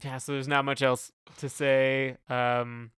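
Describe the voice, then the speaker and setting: Clear, bright man in casual discussion. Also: medium pitch, little pitch movement, slow pace, no echo, clean studio sound. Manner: hesitant, loosely phrased, hedging. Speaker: podcast co-host. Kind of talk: casual music review chat.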